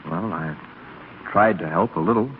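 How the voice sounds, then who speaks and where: An actor playing an earnest, guilt-ridden young gentleman secretly in love, with tender delivery in a 1930s radio drama. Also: deep voice, moderate pace. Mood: uneasy. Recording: scratchy, phone-like 1930s radio broadcast recording.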